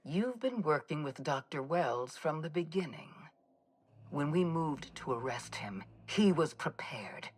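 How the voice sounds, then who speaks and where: An actress playing a stern, ruthless military commander in a video game, speaking in a formal, measured, menacing way with a husky voice. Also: female voice, deep voice, lively intonation, slight background noise. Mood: cold, reproachful. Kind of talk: video game villain monologue.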